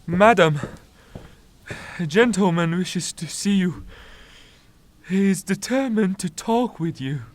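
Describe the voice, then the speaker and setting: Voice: mid-range voice, animated, takes it slowly, long pauses. A performer playing a flustered, deferential household servant in a theatrical audio drama, with a plaintive manner.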